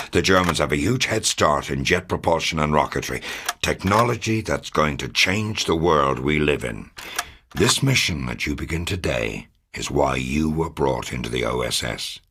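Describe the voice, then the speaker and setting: A man playing a gruff, fatherly, middle-aged American intelligence officer in a wartime video game, grave and authoritative, with a gravelly, husky voice. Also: a bass voice, pushed and bright, exaggerated voice. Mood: solemn, urgent. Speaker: military intelligence officer giving mission briefings. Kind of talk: scripted dramatic video game briefing.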